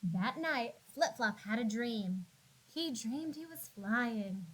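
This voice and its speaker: A woman narrating a children's storybook as a teacher would, expressively, with drawn-out words and dramatic emphasis for young listeners. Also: on the high side, expressive, fairly bright tone, breathy edge, low-quality recording. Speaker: classroom teacher reading aloud.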